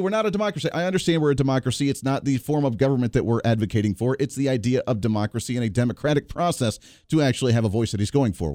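A man's voice: mid-range voice, darkish tone, dry recording, studio quality. A man hosting a political talk radio show, giving opinionated and sarcastic commentary between played clips. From Kansas area, United States. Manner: opinionated, sarcastic, rapid asides.

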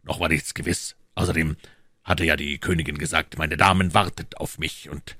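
Male reader doing a deep voiced loyal gent giving a report, precise and deferential, audiobook, dry sound. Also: steady tone, moderate pace, bright, forceful tone, husky, no room sound, exaggerated voice. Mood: measured, reporting. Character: loyal, assured gentleman informant reporting to his master. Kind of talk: audiobook character dialogue.

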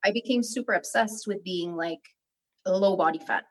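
A young woman from Albania, a guest in a casual interview, telling her story in a frank, animated way. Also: medium pitch, moderate pace, breathy edge, poor audio.

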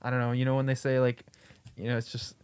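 A man's voice: mid-range voice, completely flat delivery, fast talker, darkish tone, smooth, no room sound. A man chatting as a podcast guest, telling stories in a slangy, informal way. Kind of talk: casual banter and storytelling. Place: small room. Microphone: podcast microphone.

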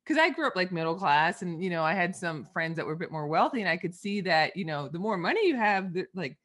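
A woman guest on a podcast, a money mindset coach, talks in a lively, chatty way. She fills her stories with "like" and laughs often. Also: fairly low pitch, quick pace. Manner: lively storyteller, laughs often, lots of likes. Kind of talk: casual interview chat.

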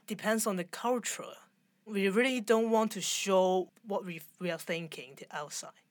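A woman in her forties from Hong Kong, speaking with a Hong Kong accent and halting, searching English as an interview guest. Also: mid-range voice, no echo. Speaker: fashion designer, guest. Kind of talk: conversational interview.

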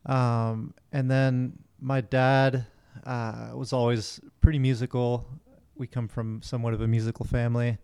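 Smooth-voiced musician guest in a casual interview. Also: male voice, mid-range voice, little pitch movement, darkish tone. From Miami. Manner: easygoing, friendly, slightly hurried.

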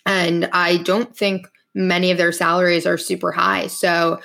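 A young woman co-hosting a podcast, talking in a relaxed, casual way with lots of fillers. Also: lowish voice, measured pace, gravelly. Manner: relaxed, casual, many "like" and "you know" fillers. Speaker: podcast co-host.

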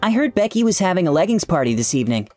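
A brash, combative male executive, voiced by a man telling a story. His delivery is snarky and emphatic, raised in pitch and very animated, over faint music. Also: rapid-fire. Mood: snarky, catty. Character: brash male CEO with snarky attitude, combative, game-loving.

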